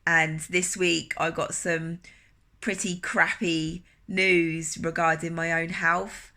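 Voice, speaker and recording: A woman's voice: fairly low pitch, little pitch movement, sharp tone. Earnest woman with a cold. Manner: halting, earnest, many fillers and restarts. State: nasal from a cold. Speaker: wellness coach. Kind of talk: personal vlog reflection.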